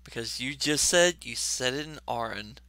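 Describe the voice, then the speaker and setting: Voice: medium pitch, lively intonation, moderate pace, pushed and bright, no echo. A player in a tabletop game, chatting casually and making wry, teasing remarks.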